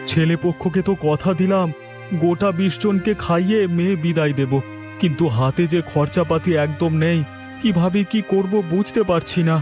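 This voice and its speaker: A man voices a poor village fisherman and father in an animated tale. He speaks fairly high, in a plaintive, humble way, as one weighed down by worry. Faint music runs behind him. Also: moderate pace, close to an everyday voice. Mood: worried, tender. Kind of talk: animated story dialogue.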